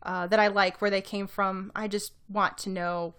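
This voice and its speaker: A woman with a middle-pitched voice speaks as a podcast co-host. Her delivery is conversational and dry, laid out in measured phrases. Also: flat delivery, a bit bright, clear, resonant tone. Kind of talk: casual explanatory chat.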